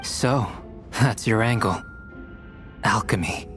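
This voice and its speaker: A man playing a calm, detached young knight on trial in a fantasy game. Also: lowish voice, takes it slowly, music in the background. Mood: calm, defensive. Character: calm, stoic young knight accused of murder. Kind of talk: scripted fantasy game cutscene acting.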